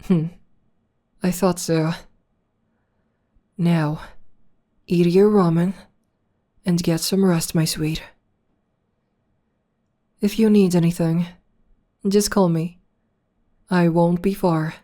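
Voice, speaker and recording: A woman playing a villainess in an ASMR roleplay, soothing and teasing as she tends to her nemesis with possessive tenderness and drawn-out endearments. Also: lowish voice, steady tone, dark tone. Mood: soft, affectionate. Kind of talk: ASMR roleplay, soft intimate acting.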